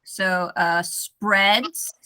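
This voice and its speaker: American woman, bright and clear, friendly online English tutor. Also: medium pitch, drawn-out words. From United States. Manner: friendly, self-correcting, reads text aloud carefully. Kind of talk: casual online lesson.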